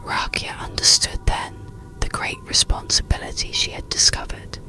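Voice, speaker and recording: Asmr bedtime story narrator, breathy whispering, crisp and bright, gentle and soothing. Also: normal speed, faint music behind, poor audio.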